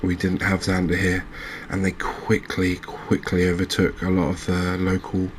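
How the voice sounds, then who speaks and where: Guy doing quiet asmr game commentary, low flat voice, slow and musing, faint noise behind. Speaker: host of a video game channel. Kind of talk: soft-spoken ASMR gameplay commentary.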